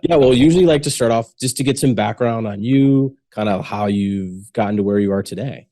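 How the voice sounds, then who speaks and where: A male podcast host with a low, mellow voice reads his introduction and then eases into casual, friendly conversation. The sound is dry and close, with no room around it. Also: brisk, no room sound.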